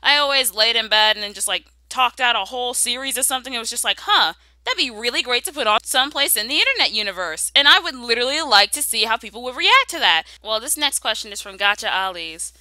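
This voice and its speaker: A young woman with a very bright, forceful voice that sits fairly high and moves up and down in a lively way. She talks casually and playfully, reading out questions and answering with sassy humor, recorded close and dry. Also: fast, no room sound. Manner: playful, sassy, conversational storytelling. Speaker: YouTube animation creator answering viewer questions.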